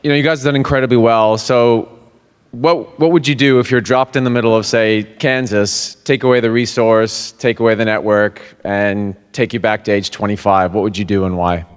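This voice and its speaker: A man's voice: lowish voice, steady tone, normal speed, smooth. A man in the audience casually putting a question to a live panel. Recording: reverberant conference hall.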